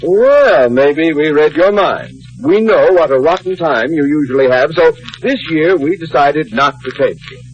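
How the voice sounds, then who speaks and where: Blustery older banker on noisy radio. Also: male voice, fairly high pitch, animated, measured pace, few pauses. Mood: hearty. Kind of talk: 1950s radio sitcom acting. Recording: dull, very noisy old radio recording.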